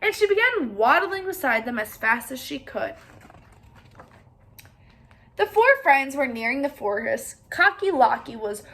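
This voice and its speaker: A woman reading a children's story aloud in an expressive way, pausing to put questions to her young listeners. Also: sits high.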